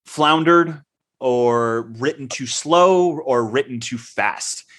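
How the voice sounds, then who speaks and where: Man, podcast co-host, casual, filler-heavy, self-correcting, mid pitch. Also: slow, fairly bright tone.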